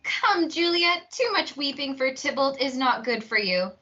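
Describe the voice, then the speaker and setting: Young woman as insistent noble mother, dramatic, high and swooping voice, stage play, lo-fi sound. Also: big pitch swings, a little slow, pushed and bright, heavily acted.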